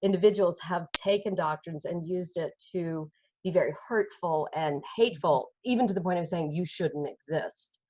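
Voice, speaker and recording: A woman's voice: lowish voice, moderate pace, dark tone, low-quality recording. A woman interviewing a guest, thoughtful and hesitant with many fillers. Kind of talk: conversational interview.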